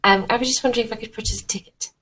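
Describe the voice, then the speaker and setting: A woman's voice: a little high, steady tone, racing, fairly bright tone, husky, voice pushed up. A woman plays a genteel lady in a tabletop game. She speaks courteously and a little hesitantly, with old-fashioned politeness.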